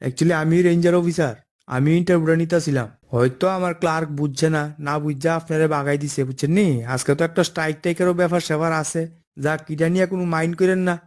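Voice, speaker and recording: A man's voice: on the high side, steady tone, fast, a little mellow, natural voice. A man voicing a firm, fair-minded forest officer in a cartoon, authoritative and matter-of-fact, with an eastern Bengali dialect. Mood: polite, official. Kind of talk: cartoon dialogue.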